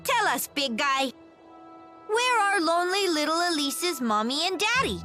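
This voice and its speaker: High, swooping, mocking little doll. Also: female voice, very animated, unhurried, bright, forceful tone, faint music behind, full character voice. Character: small talking doll companion, bluntly mocking and taunting. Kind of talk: video game voice acting.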